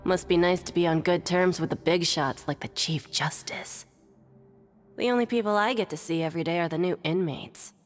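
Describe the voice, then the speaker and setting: Casting: jaded female prison registrar for a game acted by a woman, lowish pitch, dry and sardonic. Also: normal speed, faint music behind, lightly acted. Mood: weary, sardonic. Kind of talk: English video game voice acting.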